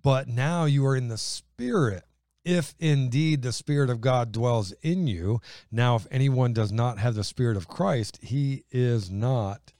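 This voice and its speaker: A middle-aged man with a booming voice, chatting enthusiastically about faith and reading Bible passages aloud. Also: unhurried, mellow, no echo, studio quality. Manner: booming, enthusiastic, acts out quotes.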